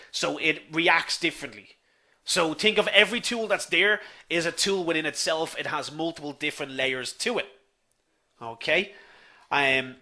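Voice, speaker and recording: A man with a Dublin accent runs a live online lesson, speaking in a slightly raised, bright, forward voice. He is chatty and easygoing, addressing his viewers as folks and answering their comments as they come in. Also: fairly high pitch. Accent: Dublin Irish. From Ireland. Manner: chatty, says "folks" often, reads viewer comments aloud. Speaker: online instructor.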